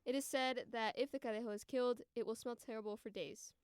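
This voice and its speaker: Podcast guest, female, about 20, American. Crisp, dry close sound, chatty and informal delivery. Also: on the high side, quick pace, no room sound. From United States. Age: around 20. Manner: informal, frequent "like" and "you know". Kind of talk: casual conversational chat and storytelling.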